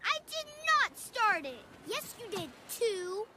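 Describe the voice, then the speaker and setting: A performer voicing an excitable, quarrelsome young boy in an animated cartoon. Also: very high voice, slow, very noisy, full character voice.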